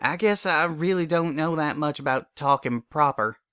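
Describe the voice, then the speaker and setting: A proud, stubborn farm mare , played by a male reader, talks in a folksy, ungrammatical country way. The voice is pushed higher and is plainspoken, in the manner of a storybook character. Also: moderate pace, fairly bright tone, clear, resonant tone, no echo, studio quality, natural voice. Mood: uneasy. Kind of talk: dramatic fan fiction reading.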